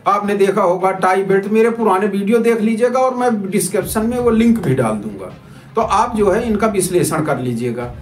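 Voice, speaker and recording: A man's voice: sits high, lively intonation, a little fast. A man giving informal, argumentative commentary to viewers, repeating himself and posing rhetorical questions. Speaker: citizen journalist presenting a video report. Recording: roomy echo with music underneath.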